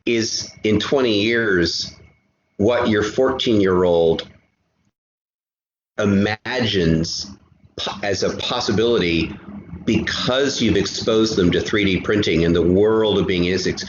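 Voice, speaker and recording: A man speaking as a podcast guest in an animated casual discussion, emphatic and often halting to repeat a phrase. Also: on the low side. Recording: roomy, dull sound with faint noise.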